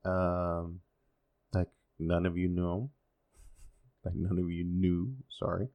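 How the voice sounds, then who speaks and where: A man's voice: deep voice, monotone, slow, lo-fi sound. A man in his forties whose first language is Spanish, rambling through a solo podcast with wry, sarcastic asides. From Dominican Republic. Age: 40s. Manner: self-deprecating, wry and sarcastic, with many fillers. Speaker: podcast host.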